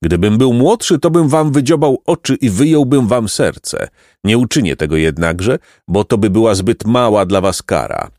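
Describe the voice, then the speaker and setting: Man as ancient wise raven, stern, preachy, scornful, solemn storybook delivery, animated intonation. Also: on the low side, normal speed, no echo, studio quality, voice pushed up. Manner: solemn, condemning, oracular. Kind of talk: storybook character reading.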